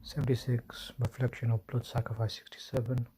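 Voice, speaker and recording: Man whispering low on a phone stream. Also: little pitch movement, a little fast, mellow, slight background noise. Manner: talking low, often whispering, with apologies and restarts. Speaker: livestream host. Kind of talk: solo livestream commentary. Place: indoors at home, quiet room.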